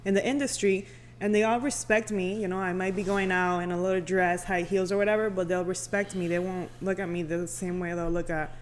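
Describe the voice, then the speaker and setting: A woman's voice: on the low side, level tone, brisk, slight background noise. A woman who is a radio personality, chatting informally as a podcast guest and slipping into Spanish at times. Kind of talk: casual chat.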